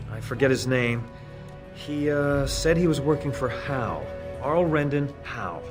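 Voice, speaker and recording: A man's voice: medium pitch, natural voice. An actor playing a frightened man caught spying, stammering and pleading. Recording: game audio over loud music. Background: game music.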